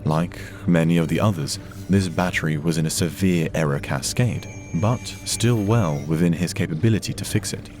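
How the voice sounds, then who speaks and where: A man narrating a dramatic science fiction story from a script in a measured, solemn way. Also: deep voice, a little mellow. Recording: music behind the narration.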